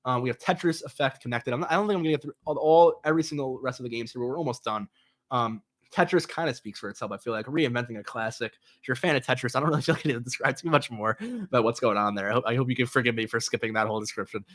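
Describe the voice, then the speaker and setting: A man's voice: medium pitch, racing, fairly bright tone. A young man hosting a gaming news show, chatting loosely with many fillers and restarts, sounding nasal from a cold. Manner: rambling, lots of fillers and restarts. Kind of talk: casual solo commentary.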